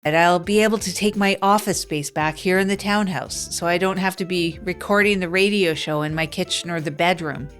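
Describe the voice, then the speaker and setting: A woman narrating as a wry, down-and-out woman in an improvised comedy audio drama, rambling deadpan with self-mocking asides. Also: lowish voice, a little fast, few pauses, soft background music, natural voice. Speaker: narrator of the show. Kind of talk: improvised comic first-person narration.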